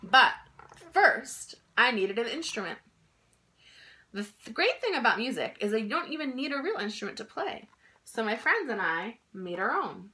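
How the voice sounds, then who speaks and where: A woman reads a picture book aloud for children. Her voice is bright and on the high side, with lively, swooping intonation. She tells the story expressively, leaning on key words. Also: normal speed. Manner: expressive, emphatic delivery for children. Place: small indoor room at home.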